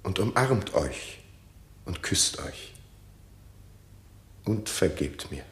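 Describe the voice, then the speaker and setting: Low, deliberate, remorseful king, widely inflected. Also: very animated, reverberant, full character voice. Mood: tender remorse. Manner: earnest, theatrical, deliberate. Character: remorseful king and father, reunited with his wife. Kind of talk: classic German radio drama acting in verse.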